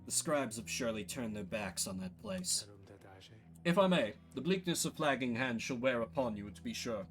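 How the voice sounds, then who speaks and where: Man playing a grave, formal exile, measured delivery. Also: mid-range voice, animated, faint music behind, lightly acted. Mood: courteous concern. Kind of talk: video game character voice.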